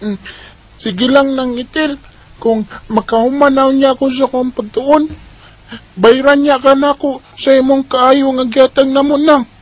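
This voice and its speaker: A woman's voice: sits high, steady tone, measured pace, long pauses. A woman with a Visayan Filipino accent playing an earnest, dutiful schoolchild from a poor family in a radio drama, plaintive in manner. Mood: grateful.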